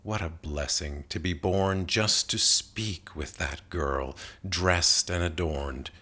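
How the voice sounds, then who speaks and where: A man reading a narrative poem aloud as a scripted literary recitation, in a measured and carefully enunciated manner. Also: deep voice, pushed and bright, slightly rough. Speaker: poetry reader.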